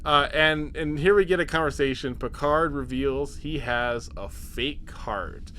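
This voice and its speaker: Man, higher pitch, jokey podcast co-host, casual swearing, quick to laugh, slight cough, faint noisy rumble. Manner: animated, jokey, acts out mock quotes, laughs often. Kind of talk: casual, profane episode recap chat.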